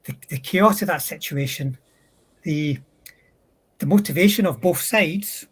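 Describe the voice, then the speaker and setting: A man on a discussion panel explaining military history in a thoughtful, halting way, stretching out words. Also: on the high side, fairly bright tone, slightly rough. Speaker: panel speaker.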